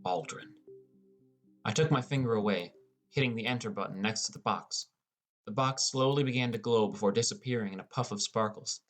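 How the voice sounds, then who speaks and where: A man's voice: fairly low pitch, a little fast. A man reading a first-person fantasy story as a scripted audiobook narration, in the role of a teenage gamer. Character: teenage boy gamer, gloomy and introspective. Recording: music under the voice. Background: prominent music.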